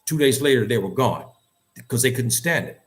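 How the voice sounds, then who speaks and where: A man's voice: mid-range voice, expressive, fairly quick. Insistent American man speaking his mind. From United States. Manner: emphatic, insistent, repeats phrases for stress. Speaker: host and commentator. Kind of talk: opinionated, unscripted talk.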